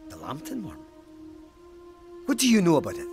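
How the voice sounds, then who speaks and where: A man's voice: on the high side, wildly swooping pitch, normal speed, over music. An actor playing a stern, pious and haunted police sergeant in an audio drama, formal and emphatic in his speech.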